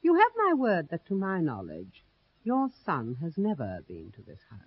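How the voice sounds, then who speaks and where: Husky, haughty old manor matriarch. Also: male voice, on the high side, huge pitch swings, no room sound, full character voice. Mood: scornful. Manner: haughty, formal, deliberate. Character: proud, evasive old grandmother of an isolated manor family. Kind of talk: 1970s radio horror drama acting. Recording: dull lo-fi 1970s radio recording.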